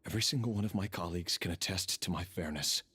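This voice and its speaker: A man playing a gloomy, weary intelligence operative in a video game, dutiful and defensive in a brooding, halting way. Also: low voice, pushed and bright, husky, heavily acted. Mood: defensive, insistent. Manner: brooding, halting, self-justifying. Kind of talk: dramatic video game acting.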